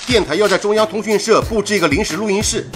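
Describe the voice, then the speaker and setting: Hurried, directive station colleague acted out, fast radio drama delivery, music clearly behind. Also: medium pitch, close to an everyday voice. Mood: urgent. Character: brisk, urgent radio station colleague in wartime.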